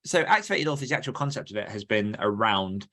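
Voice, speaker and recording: A man's voice: on the low side, very animated, fast, bright, forceful tone. A man co-hosting a podcast in casual chat, talking in a chatty, rambling, self-deprecating way. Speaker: podcast co-host and author.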